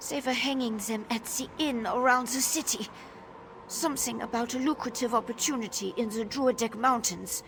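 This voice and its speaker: High-voiced, wary Nord woman. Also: normal speed, fairly bright tone, slight background noise. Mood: guarded, wistful. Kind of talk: video game dialogue acting.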